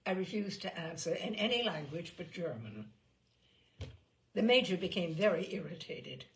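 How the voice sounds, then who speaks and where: A woman's voice: lowish voice, expressive, normal speed, slight background noise. A woman reading literary stories aloud as a storyteller, in a dramatised narration style. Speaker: storyteller, reader of literary selections.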